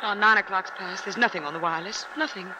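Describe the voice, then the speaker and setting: A woman's voice: mid-range voice, fast, lightly acted. A woman playing an anxious, plaintive wife in a 1950s radio drama, speaking in short, hesitant phrases. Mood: worried, bewildered. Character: anxious wife sheltering with her husband. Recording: noisy 1950s radio broadcast recording.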